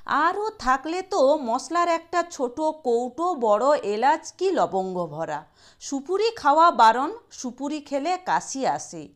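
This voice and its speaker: A high-pitched female storyteller reads literary fiction at a very slow, measured pace. Her narration is expressive, in the manner of an audio short story.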